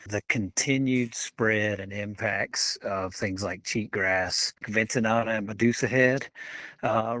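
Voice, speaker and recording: A man's voice: lowish voice, fairly bright tone, no room sound. A man speaking as an academic expert, giving explanatory answers in an interview. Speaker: university institute director, interviewee.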